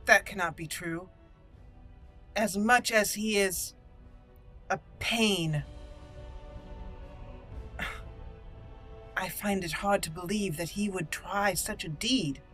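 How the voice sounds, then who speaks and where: A woman role-playing a proud, dutiful young noblewoman raised among dwarves, speaking formally and deliberately as game dialogue. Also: medium pitch, pushed and bright, faint music behind. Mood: disbelieving.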